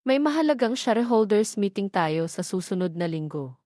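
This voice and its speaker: A woman's voice: mid-range voice, big pitch swings, normal speed, mellow, dry recording, studio quality, natural voice. Woman voicing a serious, cautious Filipina assistant. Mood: urgent caution. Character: serious, dedicated female assistant, cautious and loyal.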